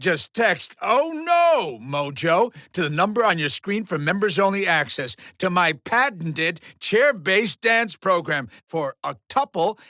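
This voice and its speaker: A man's voice: high-pitched, big pitch swings, a little slow, hardly any pauses, bright, forceful tone, no room sound, clean studio sound, exaggerated voice. A man voicing a sleazy alien TV showman villain in a video game, announcing arena fights with pompous, theatrical hype and snide asides. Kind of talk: theatrical game-show commentary, video game acting.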